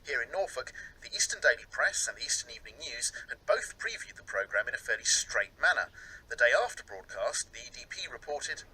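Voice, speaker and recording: A man's voice: medium pitch, big pitch swings, brisk, sharp tone, husky, low-quality recording. A man narrating a radio feature as its reporter and presenter.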